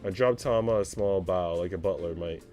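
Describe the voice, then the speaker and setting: A man's voice: sits low, normal speed, warm tone. Man reading a visual novel over music. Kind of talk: narration with casual reactions.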